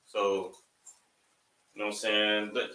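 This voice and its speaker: A man's voice: lowish voice, monotone, slow, sharp tone. Man instructor, loose and repetitive, turns emphatic, recorded from a distance in a classroom. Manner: loose and rambling, repeats "you know what I'm saying"; preachy, rising to emphatic lines. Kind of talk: opening remarks to a live class. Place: classroom, also streamed online. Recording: echoey room, mic at a distance.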